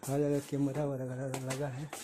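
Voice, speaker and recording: A man's voice: medium pitch, completely flat delivery, normal speed, noisy background. A man in his twenties with an eastern Uttar Pradesh accent, chatting casually as a vlogger showing viewers around a shop. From India. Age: 20s. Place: large indoor clothing store. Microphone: handheld phone or camera at arm's length. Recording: busy shop chatter behind voice.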